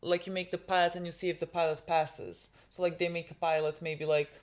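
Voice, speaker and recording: A woman's voice: lowish voice, level tone, fairly quick. A young woman whose first language is Serbian, co-hosting a TV reaction and chatting casually with many fillers and restarts. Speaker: reaction video co-host. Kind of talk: casual chat.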